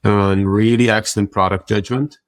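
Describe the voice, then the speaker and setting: A man with a very low voice talks in a casual interview, thinking aloud as he explains. The sound is close and very dry, with hardly any room on it. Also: steady tone, moderate pace, no room sound. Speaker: interview guest, tech product executive.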